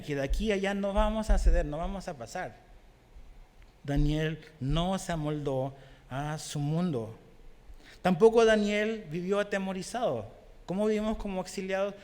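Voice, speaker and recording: A man's voice: high-pitched, a little mellow, long reverb tail. A young man from Chile with a Chilean accent touched by Mexican Spanish, preaching a conversational sermon as pastor and often asking the congregation questions. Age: young adult. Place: church hall.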